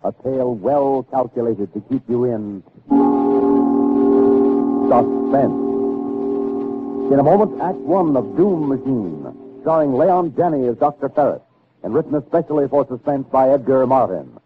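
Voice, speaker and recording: A thin vintage radio broadcast carries a male announcer over prominent music. He reads scripted narration in a measured, formal, dramatic manner typical of early 1960s radio drama. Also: medium pitch, animated, phone-quality sound.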